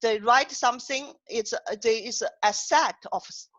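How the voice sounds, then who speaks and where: A woman's voice: fairly high pitch, normal speed, a bit bright, highs cut off. A woman hosting an online poetry event over a video call, talking in a hesitant way with many restarts and fillers. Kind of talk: casual online discussion.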